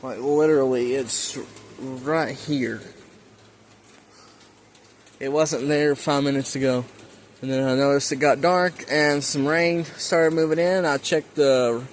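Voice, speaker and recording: A man's voice: medium pitch, steady tone, takes it slowly. A man talking casually as he narrates his radio hobby to the listener. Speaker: hobbyist recording weather radio alerts. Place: outdoors near his house. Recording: faint outdoor ambient noise.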